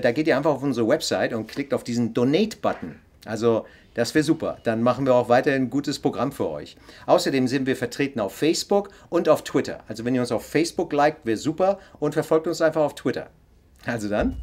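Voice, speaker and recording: A man's voice: mid-range voice, a little mellow. A man hosts the show and signs off in a chatty, upbeat way, scattering filler words as he goes. His voice sits in an ordinary middle range. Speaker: show host. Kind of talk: show sign-off.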